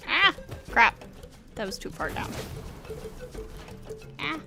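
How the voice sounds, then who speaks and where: A woman giving casual, unhurried commentary on a gaming stream, thinking aloud as she goes. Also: high voice, very animated, vocal fry. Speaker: livestreamer. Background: game music.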